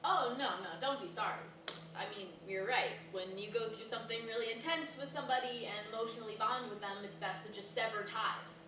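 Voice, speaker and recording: A woman's voice: on the high side, fairly quick, close to an everyday voice. A woman in a staged reading, playing a frantic, stubborn granddaughter who rambles and hesitates. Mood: hurt, sulky. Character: frantic, stubborn granddaughter hiding things from her mother. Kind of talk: staged reading of a dramatic comedy. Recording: echoey room from far off, noisy.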